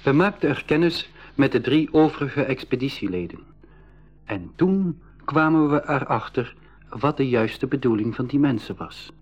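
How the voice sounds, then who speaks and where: A man's voice: medium pitch, animated, normal speed, slightly rough, highs cut off. A man playing an inquisitive expedition member who narrates a school radio adventure. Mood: storytelling. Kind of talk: scripted radio drama narration and dialogue.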